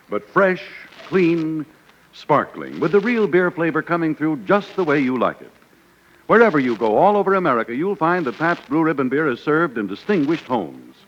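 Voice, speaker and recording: Brief: male announcer, lively swooping pitch, bit high, lo-fi old radio with faint hiss. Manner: polished, emphatic announcer delivery. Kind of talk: 1940s radio commercial. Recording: dull lo-fi 1940s radio broadcast.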